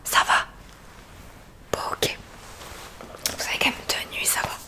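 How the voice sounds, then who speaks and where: Whispering woman in an ASMR hearing-exam roleplay. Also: measured pace. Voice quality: breathy unvoiced whisper. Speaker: ASMR creator playing a hearing examiner. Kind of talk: whispered ASMR medical roleplay, addressing the listener.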